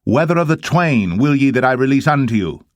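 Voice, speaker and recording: Man acting an exasperated Roman governor, voice raised high. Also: expressive, a little fast, no room sound, lightly acted. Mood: questioning. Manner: raised, declamatory. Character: Roman governor questioning a crowd, exasperated and insistent. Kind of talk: dramatised scripture reading.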